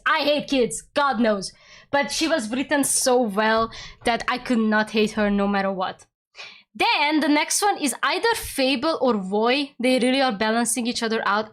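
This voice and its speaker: Giggly young woman streamer. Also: on the high side. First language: Hungarian. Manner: giggly, rambling, talks in quick excited bursts. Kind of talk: casual chat with viewers during a game stream.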